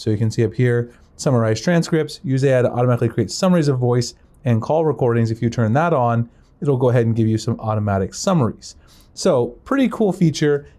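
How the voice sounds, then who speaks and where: Guy doing a phone how-to, soft mellow tone, casual and chatty, explains stuff step by step. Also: mid-range voice. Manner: informal, conversational, explanatory. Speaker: tech tutorial presenter.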